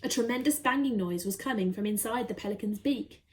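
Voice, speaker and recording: A woman reading a children's story aloud expressively, with dramatic, deliberate emphasis. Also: a little high.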